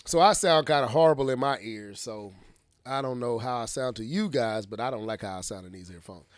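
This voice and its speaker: A man hosting a casual gaming news stream, sounding stuffy and scratchy from congestion, slangy and quick to laugh. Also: mid-range voice, no echo, studio quality. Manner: animated, slangy, laughs often. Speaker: stream host. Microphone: studio earphones with mic.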